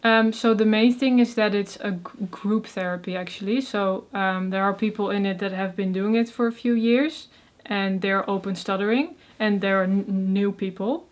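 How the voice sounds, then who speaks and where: A woman's voice: medium pitch, moderate pace, hardly any pauses. A young woman from the Netherlands with a Dutch accent, who stutters on first sounds and talks with many fillers as an interview guest. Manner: soft-spoken, many fillers, frequent laughs. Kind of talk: casual interview conversation.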